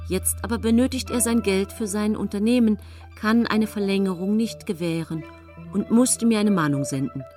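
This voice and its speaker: An actress playing a secretive, falsely devoted poisoner who confesses in measured radio drama narration. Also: female voice, mid-range voice, warm tone, lightly acted. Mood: falsely sincere. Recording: studio radio drama with music.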